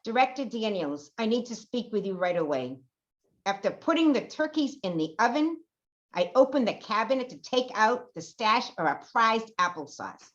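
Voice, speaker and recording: A woman's voice: medium pitch, fairly bright tone, natural voice. A woman playing a proud, indignant kitchen manager at a senior center in an amateur radio play. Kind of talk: amateur old-time radio theater acting. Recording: dull lo-fi audio.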